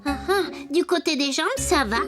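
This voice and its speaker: Woman voicing a very high-pitched caring little cartoon boy. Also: moderate pace, loud music behind the voice, natural voice. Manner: simple, encouraging, gently questioning. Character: cheerful, caring young boy helping his robot friend. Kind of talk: French cartoon dub acting.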